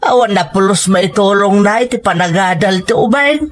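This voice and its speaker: A woman's voice: mid-range voice, normal speed, lightly acted. A woman voicing a stern, resentful elderly grandfather who scolds indignantly in a dramatic family story. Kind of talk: dramatic Ilocano radio-style storytelling.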